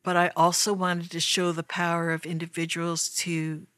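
A woman artist talking reflectively about her work in an interview. She speaks thoughtfully and pauses often. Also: fairly low pitch, little pitch movement, a bit bright, no echo, clean studio sound. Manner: slow, thoughtful, pausing often.

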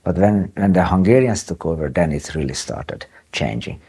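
Husky, very deep-voiced man in his nineties. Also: animated, dark tone. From Czechoslovakia. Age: 90s. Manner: halting, with restarts and fillers. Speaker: interviewee, Holocaust survivor. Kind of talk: recounting memories in an interview.

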